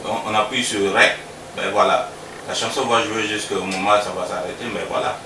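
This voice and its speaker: A man from Congo, a musician, answering interview questions in a relaxed, halting way that repeats words. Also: on the low side. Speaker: musician guest. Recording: roomy sound from a distance with background noise.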